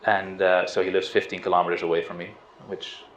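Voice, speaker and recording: Low-voiced man, roomy lo-fi sound. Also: a little fast. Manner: relaxed, hesitant, with restarts and soft laughs. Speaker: spiritual teacher. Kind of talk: informal satsang talk. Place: outdoors on a river boat. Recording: roomy, dull lo-fi sound with faint noise.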